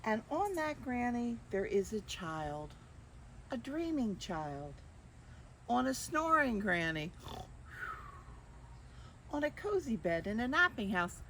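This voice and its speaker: Older woman storyteller, lively swooping intonation. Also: a little high, slight background noise. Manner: expressive, drawn-out phrasing with questions to listeners. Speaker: children's storyteller. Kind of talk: reading picture books aloud to young children.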